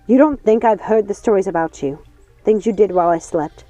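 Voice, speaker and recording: A woman's voice: mid-range voice, brisk, warm tone, no room sound, close to an everyday voice. A woman voicing a wounded, bitter witch in a storybook, accusing and aggrieved. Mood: bitter grievance. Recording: dull lo-fi sound, music underneath.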